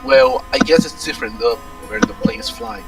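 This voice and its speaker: A man's voice: extremely high pitch, huge pitch swings, normal speed, gravelly, recorded from a distance, soft background music, poor audio. A Brazilian man, a native Portuguese speaker, reading narration aloud with casual asides and some stumbles. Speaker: reader in a group visual novel stream.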